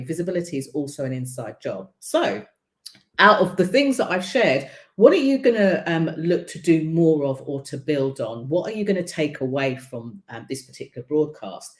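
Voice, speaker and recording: Woman coach, deep plain voice, chatty with lots of ums, bit of room echo. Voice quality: deep voice without a marked accent. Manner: conversational, many ums and you-knows. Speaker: visibility coach hosting a broadcast. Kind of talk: casual spoken coaching talk.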